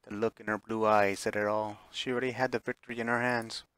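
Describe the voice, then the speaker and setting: A man's voice: on the low side, little pitch movement, fast, fairly bright tone, clean tone, dry recording. A man reading a visual novel aloud as dramatic narration, sometimes stumbling and repeating phrases. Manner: stumbles over words and re-reads phrases.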